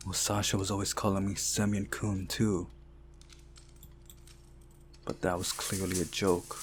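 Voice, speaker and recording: Slow-paced man narrating, low voice. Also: level tone, slight background noise. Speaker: visual novel playthrough narrator. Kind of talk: scripted narration, reading aloud. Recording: music behind the voice.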